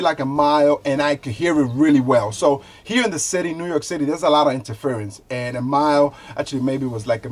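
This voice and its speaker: A man with a somewhat high voice presents a casual product review. He speaks loosely and conversationally to his viewers, often repeating a phrase as he demonstrates what he is showing. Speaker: product reviewer, show host.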